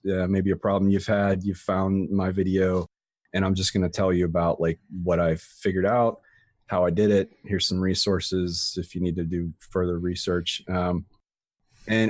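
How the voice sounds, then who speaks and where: Low-voiced man chatting with many restarts. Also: no room sound, clean studio sound. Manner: rambling with frequent restarts and fillers. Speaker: guest, tutorial creator. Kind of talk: casual interview chat.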